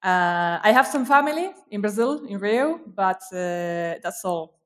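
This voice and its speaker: A woman chats as a guest on a video call. Her voice sits at an ordinary middle pitch, and she hesitates often, filling pauses and restarting her sentences. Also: animated. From Argentina. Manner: hesitant, many fillers and restarts. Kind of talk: casual interview conversation.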